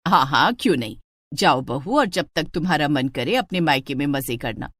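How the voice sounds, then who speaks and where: Story role: penny-pinching, nagging mother-in-law, acted by a female narrator, middle-aged, lowered voice, bossy yet coaxing, dry close sound. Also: animated, normal speed, fairly bright tone, no echo. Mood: coaxing, breezy.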